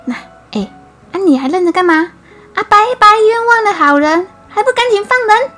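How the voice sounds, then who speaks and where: A woman voicing a fussy male prefect who questions and scolds in quick bursts. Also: very high voice, animated, soft background music, voice pushed up. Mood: scolding.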